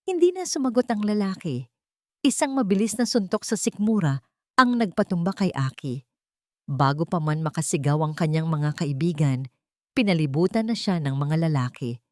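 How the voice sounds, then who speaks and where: Lady narrating a drama story, lowish voice, super animated delivery, theatrical. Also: normal speed, no echo, clean studio sound. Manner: theatrical, expressive.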